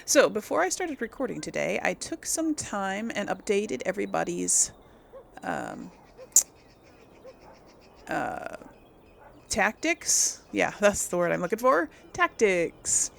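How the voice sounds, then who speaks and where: Casual woman gamer with a creaky voice. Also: medium pitch, very animated, slow pace, pauses often, fairly bright tone, a little hiss or hum. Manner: informal, conversational, thinking aloud. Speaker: video game streamer, host of a role-play series. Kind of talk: casual gameplay commentary.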